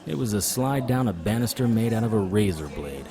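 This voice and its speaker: A man's voice: lowish voice, animated, fairly quick, a crowd in the background. An actor playing a hard-bitten male monster hunter, narrating noir-style in first person with dry, wry delivery.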